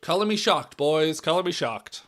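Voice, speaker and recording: A hoarse young man with a raspy, cracking voice, giving casual live play-by-play commentary and reacting to the game, swinging from loud calls to low muttering. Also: expressive, normal speed.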